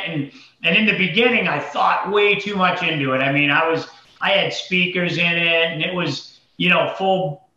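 Male interview guest, Massachusetts accent, very bright and tense tone, colloquial storyteller, heard through dull, echoey audio. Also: a little high. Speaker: entrepreneur guest. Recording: dull lo-fi, roomy echo.

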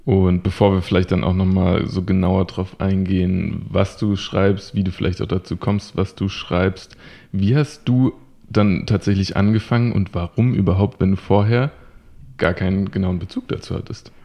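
A man hosting a podcast, asking his guest questions in long, winding, relaxed runs. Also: deep voice, normal speed, creaky. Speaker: podcast host. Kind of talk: conversational podcast interview.

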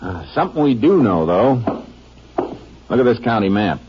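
An American actor playing a steady, clipped, matter-of-fact Texas Ranger investigator in a 1950s western radio drama, also narrating. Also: male voice, on the low side, moderate pace. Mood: focused, matter-of-fact. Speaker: lead actor and first-person narrator. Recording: muffled, noisy old radio recording.

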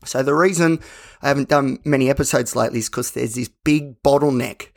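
An Australian man with a slightly croaky voice, hosting a solo podcast in a casual, candid, rambling way. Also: mid-range voice, brisk. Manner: conversational, rambling, self-correcting. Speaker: podcast host.

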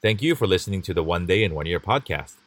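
A man hosting a podcast, reading scripted intros and asking interview questions in a polite, measured way. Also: deep voice, animated, no echo. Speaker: podcast host.

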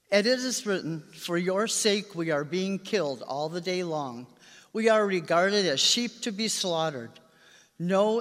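A man's voice: high voice, a bit bright. A man reading scripture aloud in a formal style at a church service. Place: large reverberant church. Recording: long echoing church reverb.

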